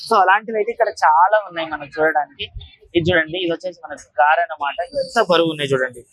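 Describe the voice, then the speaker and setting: A man's voice: fairly high pitch, quick pace, a bit bright, dry recording, low-quality recording. A man giving a casual walk-through vlog commentary, explaining things directly to viewers as he goes. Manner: explanatory, repetitive filler tags, addressing viewers directly. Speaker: vlogger, market tour host.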